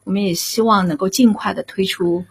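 A woman answering as an interview guest, earnest and conversational, pausing as she forms her thoughts. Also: medium pitch, fairly even intonation, breathy edge.

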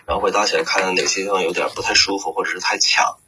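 A man's voice: low voice, steady tone, very fast, bright, forceful tone, slight background noise, low-quality recording. A man working as a voice actor, giving reflective interview answers and hesitantly searching for words. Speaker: voice actor, guest.

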